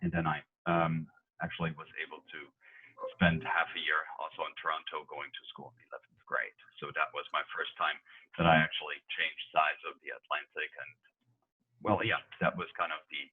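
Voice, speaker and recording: A German journalist, a man, answering interview questions in a relaxed way with many fillers. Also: extremely low pitch, fairly quick. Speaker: journalist guest. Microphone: video call. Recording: tinny phone-like video call audio.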